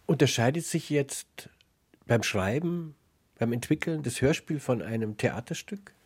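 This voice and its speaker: A man's voice: mid-range voice, lively intonation, unhurried, husky. A man moderating a radio interview in a considered, explanatory way.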